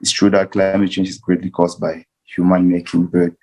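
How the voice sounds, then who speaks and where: A man speaks as an invited panelist in a low, dark-toned voice. His delivery is earnest and deliberate, and he often rephrases a point to stress it. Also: completely flat delivery. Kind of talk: earnest unscripted panel speech.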